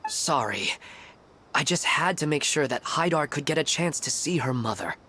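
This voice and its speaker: Gentle, earnest game father. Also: a little high, animated, normal speed, a little hiss or hum. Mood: friendly, apologetic. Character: kind, earnest adult father and husband. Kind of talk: animated video game voice acting.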